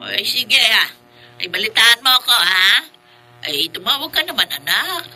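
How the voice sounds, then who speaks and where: A woman's voice: on the high side, animated, measured pace, bright, forceful tone, heavily acted, low-quality recording. An actress playing an older mother and doting grandmother calling her child by phone in a radio drama. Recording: radio drama with music and noise.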